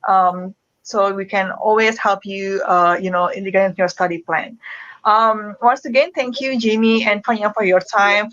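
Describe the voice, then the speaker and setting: A woman hosting a live online Q&A for a study-abroad agency, talking haltingly with many fillers over a video call. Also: mid-range voice, fairly bright tone, lo-fi sound. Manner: halting, filler-heavy wrap-up.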